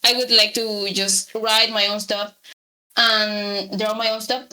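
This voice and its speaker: A young woman with a Spanish accent, a native Spanish speaker from Latin America, chatting hesitantly as a guest artist in an interview, with many pauses and fillers. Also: medium pitch, level tone, pushed and bright. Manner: hesitant, many ums, laughs lightly. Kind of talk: casual interview chat.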